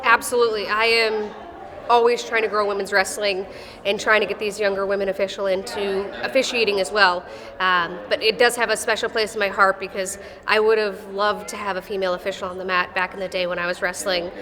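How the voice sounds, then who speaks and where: A woman's voice: medium pitch, little pitch movement, a little fast. A woman in her thirties with a Midwestern accent and a slight Texas drawl speaks in a friendly, matter-of-fact way. Her voice carries a long arena echo. Crowd chatter fills the background. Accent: Midwestern American with a slight Texas drawl. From Wisconsin, USA. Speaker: wrestling official. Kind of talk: casual interview answers. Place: wrestling tournament arena. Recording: echoey arena with crowd noise.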